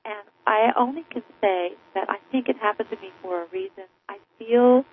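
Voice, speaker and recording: A woman guest on a radio interview, making her case with emphatic, crusading conviction. Her voice comes through a narrow, tinny, phone-like line that strips away the lows and highs. Her pitch sits at a middling level for a woman. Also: moderate pace. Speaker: author and guest.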